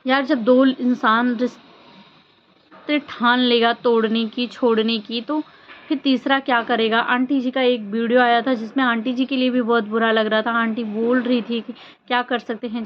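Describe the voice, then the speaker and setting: Female vlogger, higher-pitched, emphatic chatty commentary; dull lo-fi sound with some noise. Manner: conversational, emphatic, with rhetorical questions. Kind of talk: casual opinion commentary on social media drama. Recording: dull lo-fi audio with background noise.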